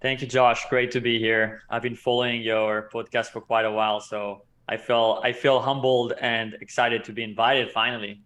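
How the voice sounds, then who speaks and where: A man in his thirties who grew up in Israel, a company co-founder telling his story as an interview guest in a measured, explanatory way. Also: mid-range voice, flat delivery, a bit bright. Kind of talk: casual interview talk.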